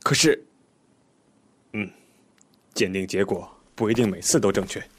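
An actor playing a skeptical police inspector in an audio drama, terse and authoritative, with a husky voice. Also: male voice, lowish voice, a little slow. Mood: probing.

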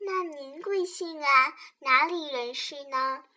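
A woman voicing lively, playful small children in a children's radio play, excitable and bookishly polite. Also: sits high, slow pace, heavily acted. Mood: curious.

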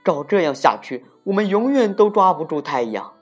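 An exasperated giant guardian from a storybook, acted by a woman, stern and emphatic, with the voice pushed up high and swinging. The recording is a bit dull, with music. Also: big pitch swings, moderate pace. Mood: frustrated. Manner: emphatic, scolding and resolute.

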